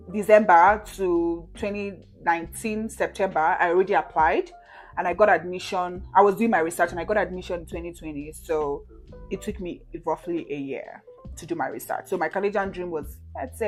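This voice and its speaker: A woman's voice: fairly low pitch, normal speed, faint music behind. A woman in her twenties from Nigeria, chatting casually to camera as she answers viewer questions, with frequent "you know" and "right?" and easy laughs. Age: 20s.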